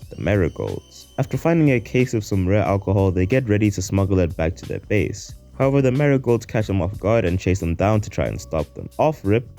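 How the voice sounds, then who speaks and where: A man's voice: sits low, mellow. A man hosting a video essay, narrating a scripted review in an informal, slangy way. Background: faint music.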